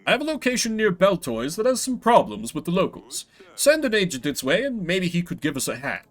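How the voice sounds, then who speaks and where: A man voicing a scheming noble lord in a video game, with a smooth-talking, persuasive sales manner. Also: sits high, big pitch swings, brisk. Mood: persuasive, slick. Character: scheming noble lord pitching a shady drug trade.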